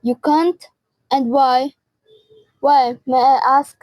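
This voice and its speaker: A woman's voice: high voice, fairly even intonation, takes it slowly, no echo, highs cut off. A teenage girl playing a frantic, imperious, nervous housebound wife in an amateur radio drama, indignant and haranguing. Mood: indignant, exasperated. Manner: indignant, haranguing, repeats phrases. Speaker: student performer.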